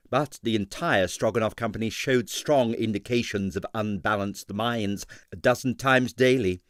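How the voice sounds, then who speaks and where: Man reading a story with lively intonation. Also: mid-range voice, no echo, studio quality. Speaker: audiobook reader. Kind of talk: scripted narration of a comic detective novel.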